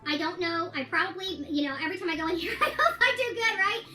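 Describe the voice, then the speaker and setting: A high, bright American female voice in an echoey room with some music behind. She talks casually and gives her opinions, circling back to repeat her points for emphasis. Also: animated, quick pace. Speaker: self-represented litigant recounting her court case. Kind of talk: casual video diary monologue.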